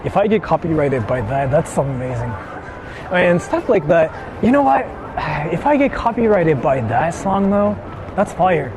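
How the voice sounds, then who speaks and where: A man's voice: a little high, very animated, normal speed. A man livestreaming casually while riding through a city, rambling with lots of fillers and switching between English and Japanese. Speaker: IRL livestreamer. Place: outdoors on city streets. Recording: noisy outdoor street stream.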